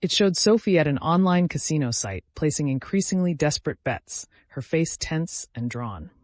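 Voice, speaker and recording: A man's voice: a little high, animated, normal speed, dry recording. A man reading a scripted dramatic story as an audiobook narrator.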